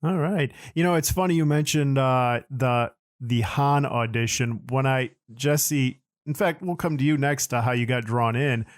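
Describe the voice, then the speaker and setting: A man's voice: mid-range voice, unhurried, hardly any pauses, no echo, studio quality. Man hosting a casual podcast. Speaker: podcast host.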